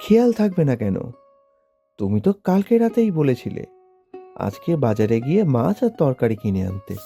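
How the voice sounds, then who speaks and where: A man plays a meek young nephew in a cartoon. His voice is mellow and swings up and down, timid and deferential, over soft plucked-string music. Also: medium pitch, sing-song, moderate pace, creaky. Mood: meek, pleading. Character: meek, obedient young orphan nephew, a household helper. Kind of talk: Bengali cartoon voice acting.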